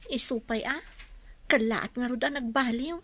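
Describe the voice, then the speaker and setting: A woman's voice: on the high side, fairly even intonation, normal speed, a bit bright, slight background noise. An actress playing a concerned, gossipy village woman in an Ilocano radio drama. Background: music.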